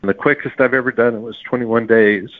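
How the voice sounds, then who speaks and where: A middle-aged or older man, an interview guest, telling his story in an unhurried, explanatory way. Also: mid-range voice, steady tone, dry recording. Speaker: author guest. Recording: narrow phone-like sound, dry.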